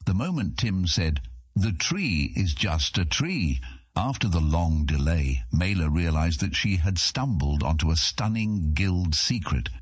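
An extremely deep-voiced man narrates a fantasy audiobook. His tone is husky and his delivery is dramatic, scripted storytelling. The recording is close and dry. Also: expressive, measured pace, no room sound.

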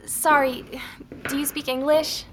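A woman's voice: sits high, level tone, unhurried, noisy background. An actress playing a curious, polite woman investigator in a naturally spoken audio drama. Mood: nervous, apologetic. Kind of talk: audio drama, intimate narration and natural dialogue.

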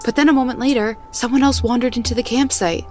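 A woman's voice: on the high side, steady tone, fast talker, darkish tone, faint music behind, lightly acted. An actress playing a wary, practical woman out camping in a naturalistic audio drama, matter-of-fact and insistent. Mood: wary, hushed.

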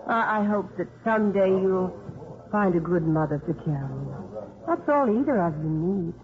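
A woman's voice: fairly low pitch, expressive, moderate pace, a crowd in the background, lightly acted. An actress playing a kind, plain-spoken policewoman in a 1950s radio drama. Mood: warm sympathy. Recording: muffled 1950s radio broadcast, audience noise.

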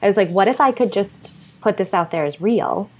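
An American woman chatting casually as a podcast guest, enthusiastic and quick to giggle. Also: medium pitch. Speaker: podcast guest, horror storyteller. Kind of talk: casual chat.

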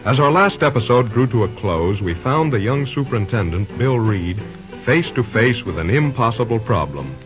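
A man's voice: mid-range voice, very animated, moderate pace. Male serial announcer, dramatic rhetorical delivery over faint music, muffled vintage radio sound. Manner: declamatory, rhetorical. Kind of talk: scripted dramatic old-time radio narration. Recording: dull old radio recording.

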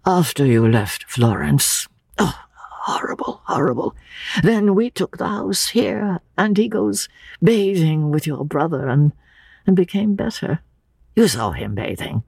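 A performer voicing a frail, gentle old man who speaks haltingly and in anguish, as in a dramatic audiobook. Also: medium pitch, expressive, vocal fry, husky, no room sound, clean studio sound, pitched above the performer's own voice, exaggerated voice. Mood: rambling, resigned. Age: older. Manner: halting, drawn-out, anguished delivery. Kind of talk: dramatic audiobook character reading.

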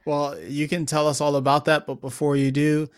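A man's voice: on the high side, flat delivery, normal speed, smooth, no room sound, studio quality. A man hosting a tech podcast, asking questions in a friendly, curious way with some fillers. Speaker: podcast host. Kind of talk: interview hosting.

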